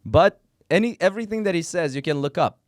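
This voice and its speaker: A man hosting a casual interview podcast, teasing his guest with quick banter. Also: sits high, expressive, no room sound, clean studio sound. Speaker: podcast host.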